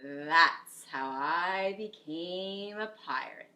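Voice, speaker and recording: A middle-aged woman reading a picture book aloud as a teacher, expressive and explanatory, with deliberate delivery. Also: lowish voice. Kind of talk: expressive children's story reading.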